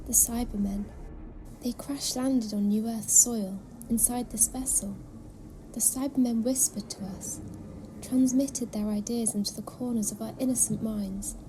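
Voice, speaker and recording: An eerie alien nursing sister, acted by a woman in a sci-fi audio drama, devout and enthralled. Her fairly high voice is hushed and solemn, often whispery, over clearly audible background ambience. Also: steady tone, a little slow, background noise, lightly acted. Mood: haunted, eerie.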